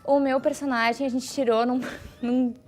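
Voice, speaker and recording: A woman's voice: sits high, little pitch movement, normal speed, a little mellow. A woman, a theatre performer chatting informally in an interview.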